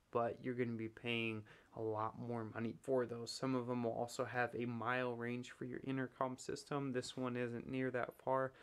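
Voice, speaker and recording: A man's voice: mid-range voice, vocal fry. A man giving a casual product review, explaining things step by step in a plain, matter-of-fact way.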